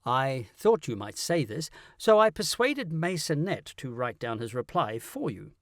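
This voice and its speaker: A man's voice: mid-range voice, sing-song, unhurried, no room sound, clean studio sound. A man voicing a genial, money-minded male go-between in an audiobook, polite, chatty and persuasive. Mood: amused. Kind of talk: audiobook character acting.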